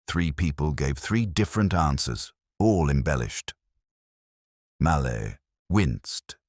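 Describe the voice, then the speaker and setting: A man narrating a cosy mystery story in a measured, formal, literary manner. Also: a bass voice, lively intonation, no room sound, studio quality. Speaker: audiobook narrator.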